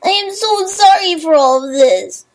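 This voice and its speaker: An extremely high, childlike female pony in an audio drama. She is clumsy and sheepish, apologising hesitantly with lively, wavering intonation. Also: a little slow, exaggerated voice. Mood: apologetic, worried. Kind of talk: fan audio drama acting.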